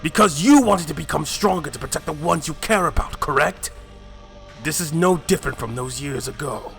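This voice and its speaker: A man's voice: fairly high pitch, normal speed, creaky, a bit bright, faint music behind. A man playing a stern, hot-tempered Saiyan warrior mentor in an anime fan drama, harsh and commanding. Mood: angry. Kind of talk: fan-made anime roleplay voice acting.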